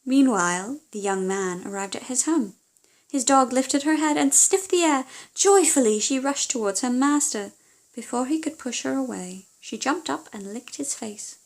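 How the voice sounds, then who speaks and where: A woman narrating a children's fairy tale as an audiobook reader, theatrical and measured with deliberate pauses. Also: high voice, expressive.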